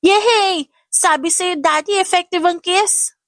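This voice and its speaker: An eager little child in an audio love story, put on by a woman narrator. The voice is raised high, sweet and pleading. It has a cheerful, childlike manner and is recorded dry. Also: a little slow, a bit bright, dry recording. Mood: gleeful. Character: eager, sweet five-year-old children, girls and boy.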